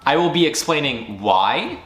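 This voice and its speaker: A man's voice: fairly high pitch, sing-song, a little slow, fairly bright tone. A man giving a casual solo recap of his channel's year, rambling eagerly with lots of restarts and self-corrections. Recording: echoey room.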